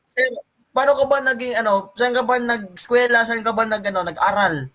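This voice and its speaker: A man with a Filipino accent hosting an improvised game show interview in a halting, repetitive way. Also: very high-pitched, pushed and bright, no room sound, low-quality recording. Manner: halting, repetitive, many sirs. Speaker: show host.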